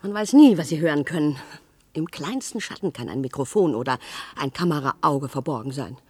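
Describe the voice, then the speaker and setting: An actor playing an anxious, obedient cellar worker who is fearful of authority, cautioning and dutiful, with a husky voice in a science-fiction radio drama. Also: male voice, fairly high pitch, lively intonation, moderate pace, a little mellow, dry recording. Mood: anxious, warning.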